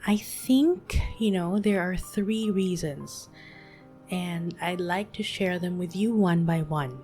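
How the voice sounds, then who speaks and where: Woman, late thirties, slow soothing reflective delivery, soft music bed. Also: lowish voice. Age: 30s. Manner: gentle, soothing, unhurried. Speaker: podcast host. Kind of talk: soft-spoken reflective monologue.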